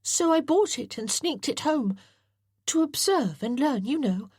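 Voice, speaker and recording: A woman's voice: high voice, expressive, a little slow, darkish tone, no echo, studio quality, voice pushed up. A woman voicing an earnest, well-bred young boy who speaks in a precise, formal way. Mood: eager.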